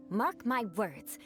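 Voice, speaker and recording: A woman's voice: a little high, fairly bright tone, exaggerated voice. An actress playing a haughty, vengeful yet composed young noblewoman knight in a video game, speaking formally and theatrically. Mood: stern, haughty. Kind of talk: dramatic video game voice acting. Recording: music behind the voice.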